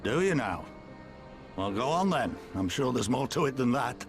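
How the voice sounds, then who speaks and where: An actor playing a blunt older resistance commander in a video game, weary and proud, with measured, folksy speech. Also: male voice, medium pitch, big pitch swings, soft background music, natural voice. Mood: wry, skeptical. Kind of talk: video game cutscene acting.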